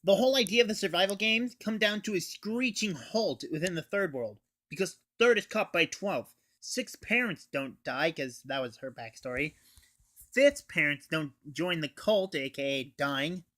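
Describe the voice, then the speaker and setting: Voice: medium pitch, expressive, moderate pace, a bit bright. A teenage reviewer recapping a story in a casual, scripted style. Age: teen. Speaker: anime reviewer.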